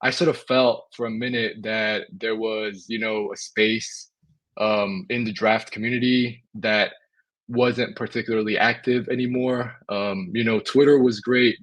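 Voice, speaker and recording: A young man from Spain, a guest on a basketball podcast, talking in a relaxed way. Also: fairly low pitch. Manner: relaxed, lots of "you know" fillers. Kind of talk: casual interview.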